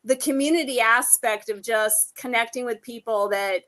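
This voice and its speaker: A woman speaks casually as part of a group discussion. Her voice is bright and forward, sitting a little higher than most women's. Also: moderate pace.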